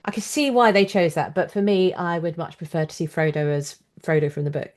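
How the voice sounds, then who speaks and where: Female podcast interviewer, slightly low register, articulate and reflective, conversational opinion. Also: a little fast, a little mellow. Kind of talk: conversational discussion, opinionated commentary.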